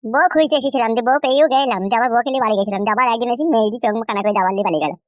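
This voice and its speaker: A man's voice: very high-pitched, very fast, dry recording. A man voicing a hot-headed, gullible village husband in a comic cartoon, blustering and whining in a rural West Bengal accent. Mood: plaintive. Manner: blustering, whining, mispronounces words.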